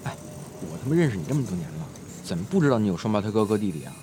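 A man's voice: lowish voice, very animated, racing, noisy background. A male actor playing a brash, foul-mouthed, teasing teenage schoolboy in an audio drama, in slangy exclamations. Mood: bossy, teasing. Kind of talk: Chinese audio drama acting.

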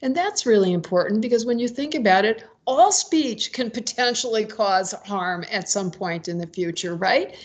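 A woman's voice: mid-range voice, lively intonation, moderate pace, hardly any pauses, husky. A woman speaking as an expert guest in an interview, explaining in an articulate, argumentative way and stressing her key points.

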